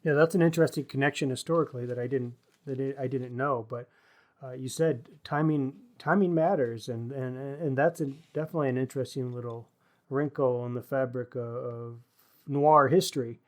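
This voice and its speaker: A man's voice: mid-range voice, fairly quick. A man co-hosting a podcast, talking through books in a casual, reflective way with literary wording and some hesitant phrasing. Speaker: podcast co-host discussing books.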